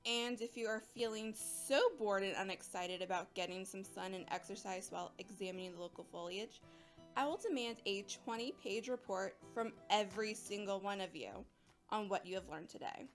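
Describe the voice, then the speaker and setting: A woman's voice: medium pitch, soft background music, close to an everyday voice. Cheery, teasing woman botany teacher played by an actress. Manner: brisk mock-stern teasing. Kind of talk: audio drama acting.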